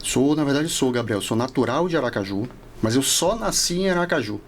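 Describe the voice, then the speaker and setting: Male podcast guest, 40s, Northeastern Brazilian accent, creaky medium-pitched voice, reflective delivery, faint background noise. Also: lively intonation, fairly quick. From Salvador, Bahia, Brazil. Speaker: podcast guest, law professor. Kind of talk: casual interview chat.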